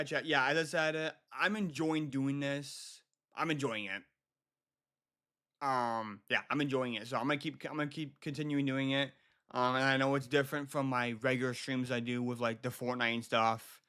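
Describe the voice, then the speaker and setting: An American man hosts a casual livestream in a bright, forward voice at a middling pitch. He speaks haltingly, circling back and repeating his phrases as he addresses his viewers. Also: steady tone, clear, resonant tone. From Michigan, United States. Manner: halting, repeats himself often. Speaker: livestream host.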